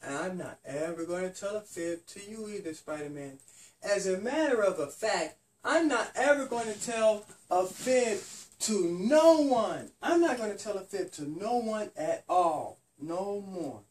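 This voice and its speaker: A man's voice: high-pitched, animated, measured pace, a little mellow. Storybook bunny child, repentant and earnest, acted by a man, recorded from a distance. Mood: earnest, sorry. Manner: childlike, repentant, repeats promises. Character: little bunny rabbit child, sorry and earnest.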